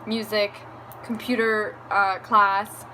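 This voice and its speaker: A woman's voice: mid-range voice, little pitch movement, slow, bright, forceful tone, rough recording. A young woman from Venezuela telling a candid, rambling story time for her vlog, laughing now and then. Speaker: beauty vlogger. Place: room at home with a fan running. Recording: fan hum in background.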